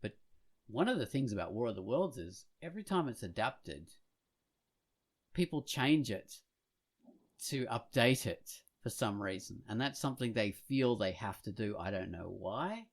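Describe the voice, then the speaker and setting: A man chatting casually as a podcast host, rambling and halting as he goes, growing animated when enthused. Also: lowish voice. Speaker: podcast host and comic artist.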